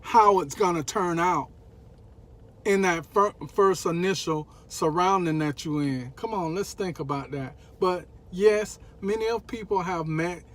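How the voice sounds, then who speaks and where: A man's voice: high-pitched, a little slow, a bit bright. An American man co-hosting a relationship vlog, chatting casually in a colloquial, joking way. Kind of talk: casual chat.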